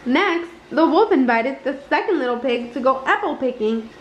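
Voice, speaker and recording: A woman reading a children's picture book aloud with an expressive, deliberate storytelling delivery in an indoor room. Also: high voice. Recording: roomy, dull home recording with noise.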